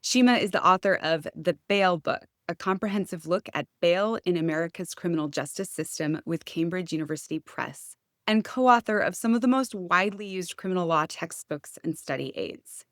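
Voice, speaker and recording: A woman's voice: fairly low pitch, moderate pace, dry recording. Woman host with a close, dry sound. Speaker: podcast host. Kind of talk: prepared introduction and interview questions.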